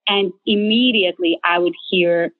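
A woman's voice: lowish voice, moderate pace. A woman from Ukraine with a Ukrainian accent, a guest telling stories in a casual interview and laughing often. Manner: laughs often, animated storyteller. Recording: tinny phone-like line.

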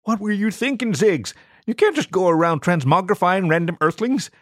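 A man voicing an impulsive young male alien who squeaks and rushes his words. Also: animated, dry recording, clean studio sound. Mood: excited. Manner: squeaky, high and eager.

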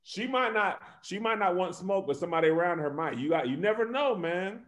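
A man's voice: high voice, animated, a little fast, a bit bright. A man laughing and joking in a casual group chat over a video call. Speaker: panelist.

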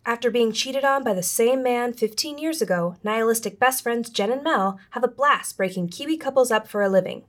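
A woman's voice: a little high, fairly quick, hardly any pauses, faint music behind. A woman hosting a podcast in a casual, self-deprecating and teasing way. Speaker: podcast host. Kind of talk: casual chat with scripted introduction.

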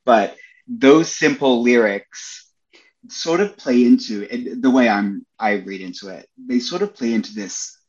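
A man's voice: medium pitch, measured pace, a little mellow, muffled recording. A young man, a Broadway performer, chatting as a podcast guest, enthusiastic and full of "like" and "you know" fillers. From Australia. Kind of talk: casual interview chat.

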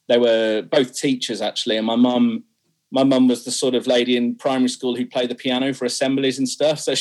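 A man's voice: medium pitch, poor audio. Guy in his twenties or so, singer chatting in an interview, laughs at himself a lot. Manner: candid and self-deprecating, laughs often. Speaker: singer guest. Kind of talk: interview conversation.

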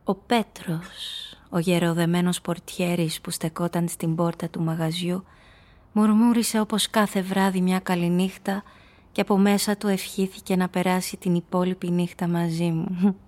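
A woman's voice: lowish voice, level tone, darkish tone, no echo, lightly acted. An actress playing a cool, calculating nightclub hostess, telling her story and speaking her lines in a composed, knowing radio drama style. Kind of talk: first-person noir radio play narration and dialogue.

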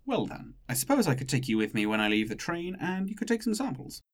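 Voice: mid-range voice, big pitch swings, fast talker. Polite formal train captain, audio drama, kinda awkward, voice swings up and down loads. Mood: kind. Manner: formal, courteous phrasing.

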